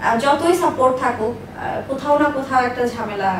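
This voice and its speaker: Woman telling her life story, high fast voice, repeats stuff for emphasis. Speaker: interview guest, business owner. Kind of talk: personal life storytelling in an interview. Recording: echoey room, distant mic, faint noise.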